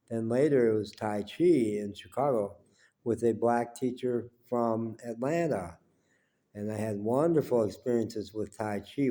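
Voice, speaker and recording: A congested man in his seventies speaks in a low, mellow voice. He rambles unhurriedly, pausing often with fillers. He is recording at home in a quiet room. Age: 70s. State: congested with a cold. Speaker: vlogger. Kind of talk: reflective personal monologue. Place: small home indoors, quiet.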